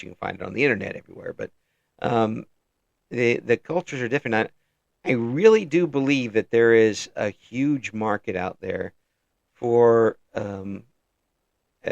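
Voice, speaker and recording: A man with a gravelly voice hosts a relaxed interview, circling through fillers and restarts as he works toward his point. He chuckles often between thoughts. The sound is dry and close. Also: lowish voice, no room sound, dull audio. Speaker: interview host. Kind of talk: casual interview chat.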